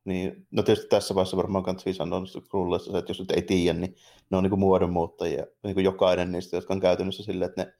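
A man's voice: low voice, completely flat delivery, gravelly, mellow. A man co-hosting a podcast, talking casually about films in a colloquial, rambling way. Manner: colloquial, rambling, loosely phrased. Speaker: podcast co-host. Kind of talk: casual film discussion between two co-hosts.

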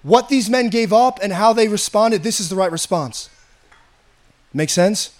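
Raspy-voiced guy preaching, kinda high pitched, earnest and chatty, repeats himself a lot. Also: unhurried. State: hoarse. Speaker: pastor. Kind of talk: sermon.

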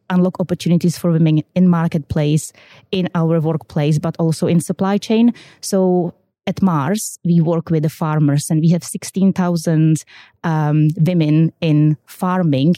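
A woman's voice: lowish voice, mellow, no room sound, clean studio sound. A woman in her thirties with a Czech accent, a podcast guest who talks upbeat but hesitant, with many fillers. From Czech Republic. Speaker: guest, quality systems manager. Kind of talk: podcast interview.

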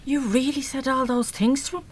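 Radio drama: a rough-spoken, protective older Irish woman, blunt, higher-pitched. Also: fairly even intonation, normal speed. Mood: sceptical. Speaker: radio play actor. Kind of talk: live radio drama acting.

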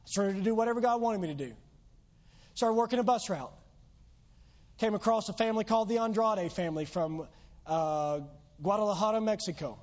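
A man's voice: high voice, a little mellow. A pastor preaching a sermon in church, losing his voice, with earnest, emphatic exhortations. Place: church sanctuary. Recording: dull lo-fi church recording.

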